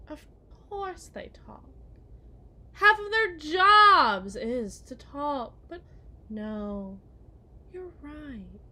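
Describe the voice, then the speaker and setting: A woman's voice: high voice, pushed and bright, full character voice. A woman playing a genteel, possessive kidnapper wife in a theatrical ASMR roleplay, condescending and syrupy sweet with veiled menace. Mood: condescending, insinuating. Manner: mannered, condescending, syrupy endearments, veiled threats.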